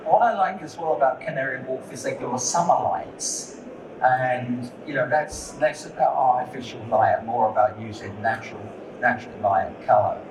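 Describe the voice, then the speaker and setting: A man talking as a lighting designer on a conference panel. He speaks candidly and conversationally, repeating words as he gathers his thoughts. The sound is distant and roomy, with a steady noise underneath. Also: mid-range voice, moderate pace. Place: conference hall. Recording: echoey hall, distant mic, noisy.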